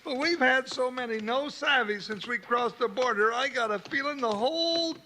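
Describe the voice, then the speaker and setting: Chatty cowpoke sidekick, real high squeaky rasp. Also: male voice, normal speed, pushed and bright. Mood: folksy. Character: hefty folksy frontier sidekick, jolly and gabby. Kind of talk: 1950s radio drama acting. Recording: old radio with faint hiss.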